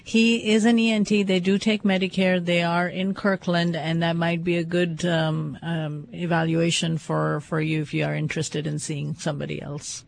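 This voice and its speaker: Creaky-voiced woman replying. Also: on the low side, little pitch movement, moderate pace, hardly any pauses. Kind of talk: conversational replies.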